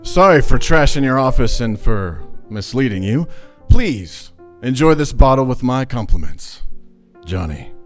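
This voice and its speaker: A man playing a soft-hearted, reluctant accomplice in a noir radio play, earnest and anxious. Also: mid-range voice, big pitch swings, measured pace, faint music behind. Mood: apologetic.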